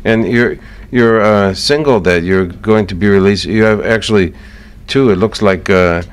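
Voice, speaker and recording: A man's voice: fairly low pitch, moderate pace, smooth. A man with an American accent hosting a conversational radio interview in an easygoing, encouraging way. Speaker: radio show host.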